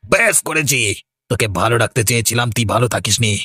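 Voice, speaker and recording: A man performs a violent drunken husband in a cartoon village drama. The voice is husky and aggressive, full of threat. It is recorded close and dry. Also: medium pitch, lively intonation, quick pace, bright, forceful tone, no echo. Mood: furious bullying.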